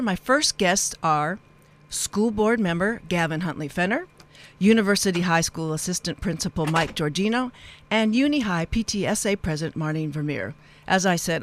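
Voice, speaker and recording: A woman hosting a live radio interview show, with a wordy, halting delivery that wanders into asides. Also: on the low side.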